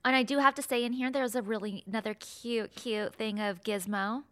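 A middle-aged woman co-hosting a chat podcast, her voice sitting a little high and sounding bright and forceful. She talks in long, animated run-on stretches, restarting her sentences. She laughs often. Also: normal speed. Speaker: podcast co-host. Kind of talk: casual chat recapping a reality show.

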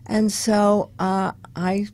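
An older woman from Long Island, New York, a guest telling her life story casually and a little haltingly. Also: mid-range voice, level tone, a little mellow. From Long Island, New York, United States. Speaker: interview guest, women's activist.

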